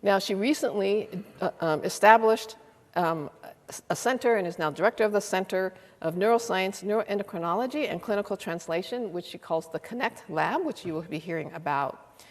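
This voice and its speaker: A woman's voice: mid-range voice, moderate pace. An older woman at a lecture hall podium reads a speaker's introduction, with some fillers and restarts. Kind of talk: formal spoken introduction. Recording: long hall reverb.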